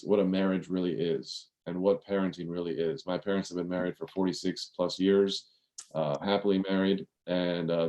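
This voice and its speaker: Deep-voiced man in his thirties. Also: mellow. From Maryland, USA. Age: 30s. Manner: earnest, many fillers and restarts. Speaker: podcast guest. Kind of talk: casual interview storytelling.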